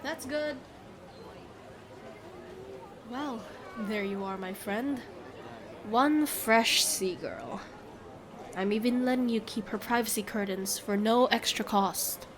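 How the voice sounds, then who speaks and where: A woman's voice: fairly high pitch, takes it slowly, some chatter behind. A woman playing a smug, haggling female merchant with glib, theatrical sales patter in a fantasy audio drama. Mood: brisk, sly. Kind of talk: scripted fantasy audio roleplay.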